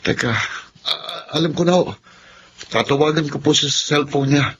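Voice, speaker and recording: High-voiced earnest young friend. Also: male voice, a little slow, fairly bright tone, slight background noise. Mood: earnest concern. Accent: Filipino. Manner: earnest, concerned. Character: concerned, helpful young male friend, respectful son. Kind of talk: melodramatic Filipino radio drama acting.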